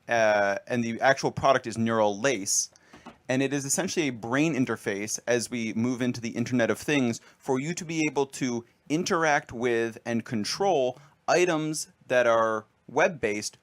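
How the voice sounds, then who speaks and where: Male radio co-host, mid-pitched, wry and explanatory, casual banter. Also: normal speed. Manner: wry, explanatory, riffs on tech news.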